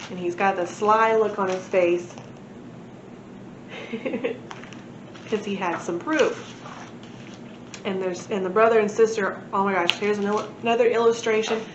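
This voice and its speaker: Woman, read-aloud host, chatty and informal, medium pitch, echoey muffled room recording. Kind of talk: children's book read-aloud with casual asides. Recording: echoey room, muffled, noisy with low rumble.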